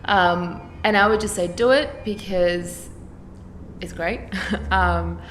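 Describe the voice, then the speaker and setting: A woman's voice: fairly low pitch, slow pace, a bit bright, soft background music. A woman, speaking earnestly about a mentoring program in an interview. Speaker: program graduate. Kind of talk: interview testimonial.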